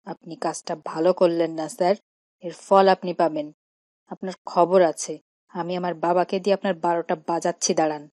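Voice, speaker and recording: Woman voicing a spoiled, insolent schoolboy, lowish pitch. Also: steady tone, normal speed, darkish tone, no room sound, studio quality, close to an everyday voice. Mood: defiant, threatening. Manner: defiant, cheeky, threatening. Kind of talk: cartoon dialogue acting.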